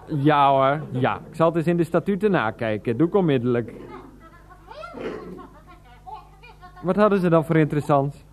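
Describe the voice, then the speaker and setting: A man's voice: on the high side, animated, quick pace, close to an everyday voice. A man playing a flustered adult son who stammers and keeps getting exasperated with his mother on the phone, in a 1990s radio play. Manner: stammering, exasperated, bursts into laughter. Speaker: character in a radio drama.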